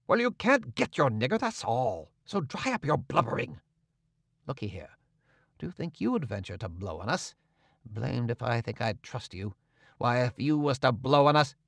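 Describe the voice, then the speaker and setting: Un uomo che fa un truffatore minaccioso e brontolone. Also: male voice, medium pitch, sing-song, normal speed, creaky, fairly bright tone, dry recording, clean studio sound, full character voice. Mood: menacing. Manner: grumbling, threatening, bossy. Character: scheming middle-aged male swindler, gruff and menacing.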